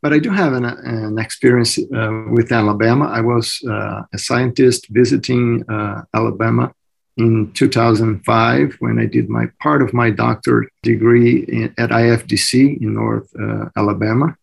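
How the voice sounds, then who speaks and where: A man in his forties with a Brazilian accent, answering interview questions in halting English with many fillers and restarts. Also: mid-range voice, steady tone, creaky. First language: Portuguese. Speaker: agronomist guest.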